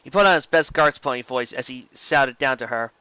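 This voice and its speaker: A man narrates a story in a bright, forceful voice. He reads like an amateur, occasionally tripping on a word and going back. The recording is very dry and somewhat dull. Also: mid-range voice, a little fast, clear, resonant tone, no room sound. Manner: stumbles and rereads words. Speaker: amateur audiobook reader.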